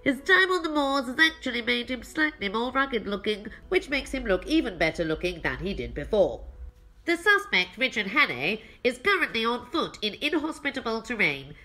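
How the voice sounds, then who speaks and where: A woman's voice: a little high, big pitch swings, moderate pace, sharp tone, exaggerated voice. A woman playing a formal yet smitten radio newsreader, reading a mock old-time news bulletin in a radio play. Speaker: radio announcer.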